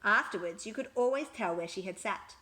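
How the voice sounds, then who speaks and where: A woman reading a children's picture book aloud with expressive, emphatic storytelling. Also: mid-range voice, fairly quick.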